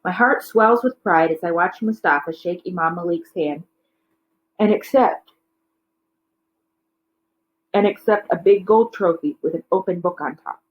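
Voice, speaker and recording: A woman's voice: lowish voice, unhurried, long pauses, dull audio. Woman reading a children's novel aloud. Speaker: teacher reading a book aloud.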